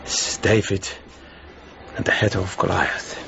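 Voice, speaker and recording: An actor playing a guarded, worldly man in a naturalistic radio drama, speaking in a measured, matter-of-fact, knowing way. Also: deep voice, steady tone, creaky, highs cut off, background noise, full character voice. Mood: knowing, resigned.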